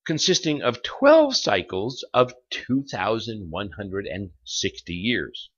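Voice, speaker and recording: A man narrating a lecture in a theatrical, suspenseful documentary style, pausing for effect between phrases. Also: on the low side, very animated, breathy edge, highs cut off. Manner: theatrical, with rhetorical questions and suspenseful pauses.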